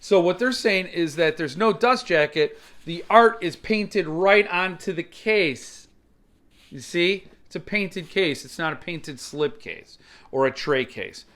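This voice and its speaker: A man with a somewhat high voice hosts a casual unboxing. He talks conversationally and gives his opinions plainly as he explains the details of what he is showing. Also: expressive, slow pace, a bit bright. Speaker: book collector reviewer, channel host.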